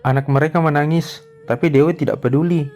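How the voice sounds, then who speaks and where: A man's voice: fairly high pitch, steady tone, brisk, a little mellow. A man narrating a scripted drama story as its storyteller.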